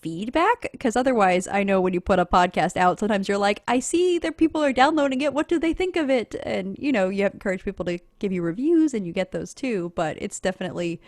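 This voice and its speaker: A woman's voice: medium pitch, animated, a little fast, few pauses, no echo. Informal woman podcaster, dry close sound. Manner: chatty, informal. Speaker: podcaster on a live panel. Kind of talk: casual explanatory panel talk.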